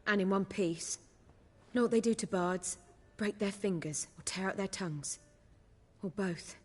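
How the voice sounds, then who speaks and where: A worldly, sharp-tongued woman from a video game. Her voice sits at a middle pitch, dry and sardonic, and rings with long reverb. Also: measured pace, breathy edge. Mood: worried, grim.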